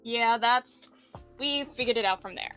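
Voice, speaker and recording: Bright, high-voiced woman explaining software casually. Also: a little slow, smooth, soft background music. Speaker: visual novel tutorial teacher. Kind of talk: casual explanatory tutorial chat.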